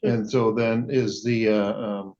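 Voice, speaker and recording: Man, judge, deep-ish voice, plain conversational questioning, dull lo-fi courtroom audio. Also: steady tone, slow, darkish tone. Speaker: judge presiding over a hearing.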